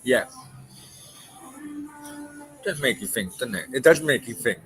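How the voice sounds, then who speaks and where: Sweary, animated man in his forties. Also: mid-range voice, slow, soft background music, rough recording. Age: 40s. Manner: blunt, sweary, emphatic ranting. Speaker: podcast host. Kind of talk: late-night casual chat.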